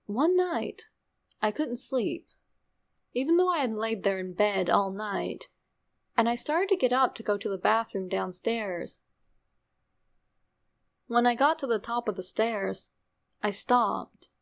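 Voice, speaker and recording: A woman reading a scripted creepypasta in an expressive storytelling way, with dramatic pauses and drawn-out words. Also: a little high, vocal fry. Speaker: narrator reading a horror story.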